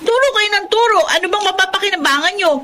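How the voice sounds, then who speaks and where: A sharp-tongued bratty daughter. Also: female voice, extremely high pitch, quick pace, noisy background. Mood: scornful. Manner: mocking, resentful, snappy. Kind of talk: radio drama acting.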